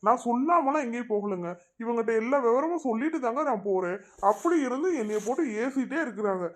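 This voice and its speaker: A woman's voice: on the high side, animated, quick pace, close to an everyday voice. A woman voicing a harried young daughter-in-law in a cartoon, complaining and pleading. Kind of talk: cartoon voice acting.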